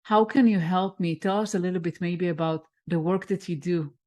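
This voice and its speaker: A woman's voice: on the low side, moderate pace, a little mellow. A woman hosting a podcast interview, asking questions in an encouraging, inviting way. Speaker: podcast host.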